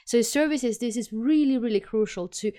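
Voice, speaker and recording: A woman's voice: fairly high pitch, a little fast, mellow, clean tone. A woman from Finland with a Finnish-Swedish accent, hosting a solo business podcast and coaching listeners directly, stressing points by repeating words. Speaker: podcast host and business consultant.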